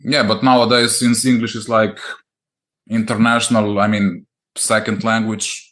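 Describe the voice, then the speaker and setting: A man's voice: on the low side, flat delivery, moderate pace, fairly bright tone. Hesitant Slovene man interviewing. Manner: hesitant, many ums and restarts. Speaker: podcast interviewer. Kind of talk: interview in English.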